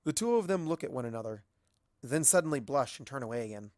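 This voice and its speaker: A man reading a story aloud as an expressive amateur narrator. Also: medium pitch, fast talker, no echo, clean studio sound. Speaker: amateur audiobook reader.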